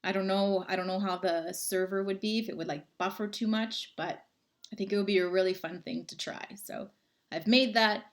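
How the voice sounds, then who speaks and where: A woman's voice: mid-range voice, fairly even intonation, moderate pace. A woman, chatting casually with viewers on a livestream from home and reading out their comments. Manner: chatty, friendly, rambling. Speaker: livestream host. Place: home, indoors.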